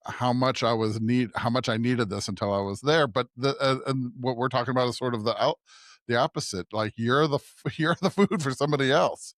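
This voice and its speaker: A man's voice: medium pitch, fairly bright tone, dry recording. Informal male podcaster, dry close sound. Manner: informal, thinking aloud, often checks in with "right?". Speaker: podcast co-host. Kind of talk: casual conversational discussion.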